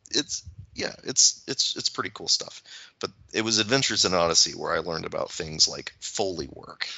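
A man with a very low voice and a hard, forceful edge. He talks as a podcast panelist, feeling his way through sentences and repeating words as he goes. The recording is dry and a little dull. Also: creaky, dry recording. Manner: halting, searching phrasing with repeated words. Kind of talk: reflective podcast discussion.

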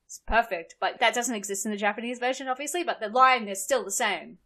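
A woman's voice: on the high side, quick pace, a bit bright. A woman in her thirties with an Australian accent, a guest chatting on a podcast and often laughing. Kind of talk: casual podcast chat.

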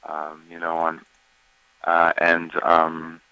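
Deep voiced guy chatting about his album, bright sound, dry room, lots of uhs. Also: slow, clear, resonant tone, no echo, muffled recording. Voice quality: deeper voice. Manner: relaxed, many fillers and restarts. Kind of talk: casual interview chat.

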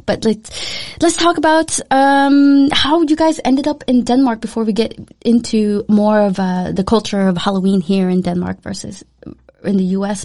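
Chatty, high-voiced woman podcast host. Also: darkish tone. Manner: chatty, laughs often, frequent fillers and restarts. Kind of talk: casual chat.

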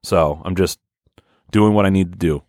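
A man in his thirties co-hosting a podcast, swearing freely and telling stories in a booming voice. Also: normal speed, dry recording, studio quality. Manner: profane, loud storytelling, booming voice. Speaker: podcast co-host. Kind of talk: casual chat.

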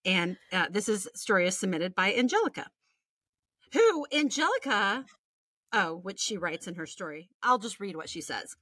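A woman's voice: mid-range voice, big pitch swings, normal speed, fairly bright tone, no echo, studio quality. An American woman co-hosting a podcast, reading listener stories aloud in a casual, sweary way with chatty asides. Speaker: podcast co-host.

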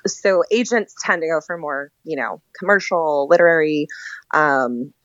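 A woman's voice: low-pitched, a little slow, fairly bright tone, no room sound, highs cut off. A woman speaking as a guest author in a casual, chatty and informal interview conversation.

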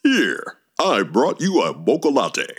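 A man's voice: medium pitch, huge pitch swings, slow pace, heavily acted. A man playing a suave, earnest young barista come courting in a comic radio play. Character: male coffee-shop barista, suave young suitor.

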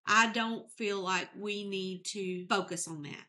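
A woman's voice: mid-range voice, unhurried, sharp tone. A woman in her sixties, a guest on a podcast, chatting candidly and laughing often. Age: 60s. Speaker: podcast guest, entertainment company head. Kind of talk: casual interview chat.

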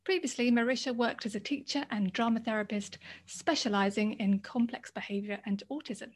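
A woman hosting her podcast, reading a measured scripted introduction and then asking her guest questions. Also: a little high. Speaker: podcast host.

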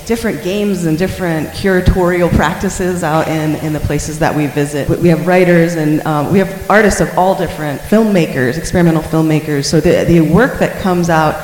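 A woman's voice: low voice, brisk, few pauses, a little mellow, a little hiss or hum. A woman with an American accent talking earnestly and informally as a panelist in an on-stage discussion. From Oregon, United States. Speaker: panelist, artist and activist. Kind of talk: panel discussion, extemporaneous talk. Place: large reverberant hall, live panel. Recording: echoey hall with long reverb.

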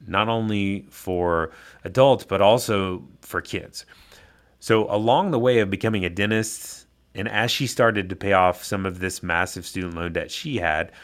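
A man with a low-pitched voice speaks as a podcast host. His delivery is conversational and informal, with chatty phrasing suited to introducing and interviewing guests. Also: a bit bright.

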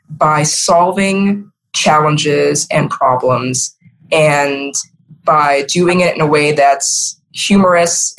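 A woman's voice: low-pitched, low-quality recording. A woman, middle-aged, speaking earnestly and emphatically as a guest in a conversational podcast interview. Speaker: interview guest, marketing executive.

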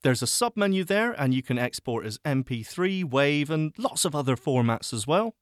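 A man hosting an audio editing tutorial, explaining steps in a chatty, self-mocking way with animated asides. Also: fairly high pitch, normal speed, dry recording, studio quality. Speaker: podcast host teaching audio editing. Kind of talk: screen-reader software tutorial.

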